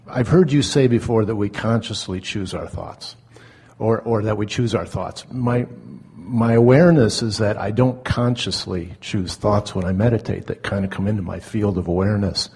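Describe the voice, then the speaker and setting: A man in the audience of a live seminar, asking an unscripted question in a careful, tentative, halting way. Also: fairly low pitch, darkish tone, breathy edge.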